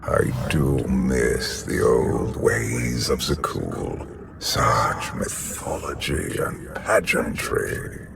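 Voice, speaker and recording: An actor playing a brooding ancient emperor in a video game, haughty and ominous, with a deep gravelly voice. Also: male voice, animated, extremely slow, noisy background, full character voice. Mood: ominous, aloof.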